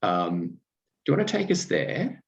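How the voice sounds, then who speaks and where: A man with an Australian accent hosting a casual interview, talking haltingly with many fillers and restarts, laughing easily. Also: fairly low pitch, huge pitch swings, creaky, dull audio, a little hiss or hum. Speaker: podcast host.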